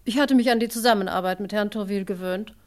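An actress playing a proper, guarded former secretary under questioning in a German radio crime drama, formal and defensive. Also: female voice, medium pitch, fast talker, a little mellow, lightly acted. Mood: reserved.

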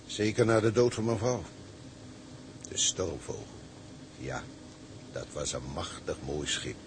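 An actor playing a weary, sentimental older tugboat captain in a Dutch radio drama, halting and fatherly. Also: low voice, big pitch swings, slight background noise, full character voice. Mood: wistful.

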